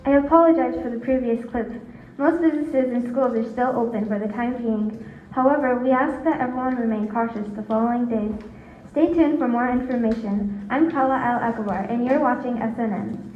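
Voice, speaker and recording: A woman's voice: fairly high pitch, brisk, a little hiss or hum, natural voice. A young woman acting a formal television news anchor in an amateur radio drama. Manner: formal announcing. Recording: muffled, echoey, crowd behind.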